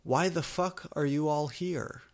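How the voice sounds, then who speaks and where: Man putting on a high, melodramatic goth girl. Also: measured pace. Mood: outraged. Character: dramatic, foul-mouthed teenage goth girl, easily outraged.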